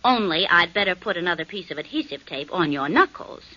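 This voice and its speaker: An actress playing a sharp-witted, sarcastic woman who is the detective's companion in a 1940s radio drama, recorded on a muffled old broadcast. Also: lowish voice, lively intonation, a little fast. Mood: teasing. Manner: dry, teasing, sarcastic.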